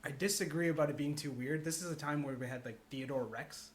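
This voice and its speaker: A man's voice: fairly high pitch, fast, a crowd in the background. A man on a podcast panel giving opinions casually, rambling and checking in with the others as he goes. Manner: rambling, peppered with "like" and "right?".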